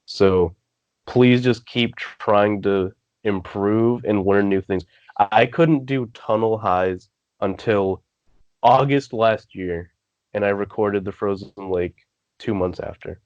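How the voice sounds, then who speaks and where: Teen man, guest vocalist, very deep voice, dull dry remote audio. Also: takes it slowly, a little mellow, no room sound. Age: teens. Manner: earnest, rambling. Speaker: guest musician. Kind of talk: casual interview.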